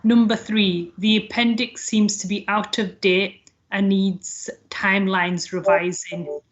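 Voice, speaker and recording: A woman chairing an online council meeting, reading out questions in a measured way with some fillers. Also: mid-range voice, little pitch movement. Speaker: panel chair. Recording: dull lo-fi video call audio.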